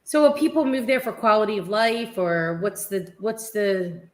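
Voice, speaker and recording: A woman's voice: medium pitch, moderate pace, slight background noise. A woman hosting a live interview, chatty and curious, asking questions over a video call. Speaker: host.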